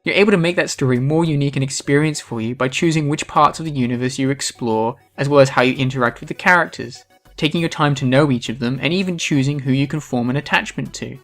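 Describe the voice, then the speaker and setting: Scripted video essayist with music behind. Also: medium pitch.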